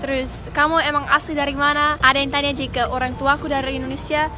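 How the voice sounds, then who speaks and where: A woman's voice: high voice, flat delivery, fast. A woman from the United States, an English speaker, chatting casually to camera as a vlogger with small fillers. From United States (Texas). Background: background music.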